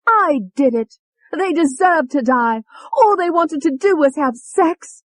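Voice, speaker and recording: A woman voicing Tony, a violent, foul-mouthed young woman with a British accent, shouting in shrill rage. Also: animated, a little slow, no echo. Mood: furious.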